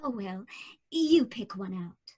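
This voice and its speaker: An actress playing an eerie, calm young woman in her twenties in a radio drama, speaking in a cool, knowing, quietly insistent way. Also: medium pitch, slow pace, husky. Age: 20s.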